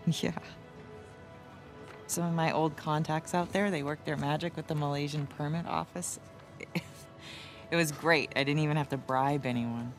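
A woman playing a confident, warm, middle-aged American wife and adventurous journalist in a naturalistic video game cutscene, with a teasing, assured manner. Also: sits low, fairly even intonation, normal speed, natural voice. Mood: amused, coaxing. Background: music.

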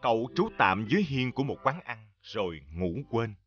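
A man's voice: medium pitch, very animated, normal speed, a bit bright, highs cut off. A man reading scripted narration for a storybook tale.

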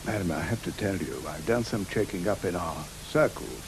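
An actor playing a stern, stubborn gentleman author in a radio drama, speaking formally and indignantly with a British accent. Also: sits low, normal speed, noisy background. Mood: grave. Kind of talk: studio radio drama acting.

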